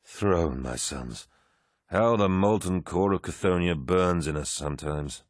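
A man voicing a furious, commanding warlord who roars and then growls low. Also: slow pace, no echo, clean studio sound. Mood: weary, gruff.

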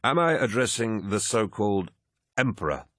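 A man doing a calm, deep-voiced giant warrior. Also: no echo, clean studio sound. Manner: calm and measured. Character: calm giant male warrior, steady and firm.

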